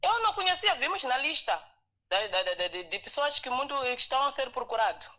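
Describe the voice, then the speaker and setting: A woman's voice: high voice, big pitch swings, fairly quick, pushed and bright. A woman caller on a live phone-in show who is not a native Portuguese speaker, arguing her point insistently and repeating herself. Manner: insistent, repetitive. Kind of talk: phone-in call. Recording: muffled phone line.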